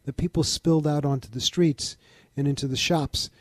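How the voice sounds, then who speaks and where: An older man from the Bronx, a museum founder explaining things in a measured, informative way as an interview guest. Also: on the high side, steady tone, dry recording. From the Bronx, New York. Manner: measured, informative, lists details. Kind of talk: interview conversation.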